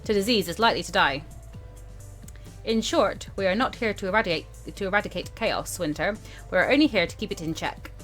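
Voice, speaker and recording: A woman voicing a stern, condescending male mentor in a visual novel, speaking in a measured, lecturing way. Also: mid-range voice, fairly bright tone, faint music behind, close to an everyday voice.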